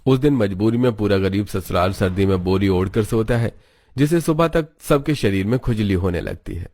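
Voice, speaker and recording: A man's voice: on the low side, lively intonation, normal speed, a little mellow, no echo, studio quality. A man reading scripted storybook narration for a cartoon.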